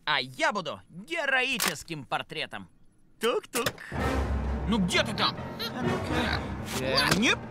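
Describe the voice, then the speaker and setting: A woman's voice: mid-range voice, wildly swooping pitch, takes it slowly. A woman voicing a cocky, boastful, bossy little boy monster in a children's cartoon, with a swaggering, exclaiming delivery. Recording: music behind the voice.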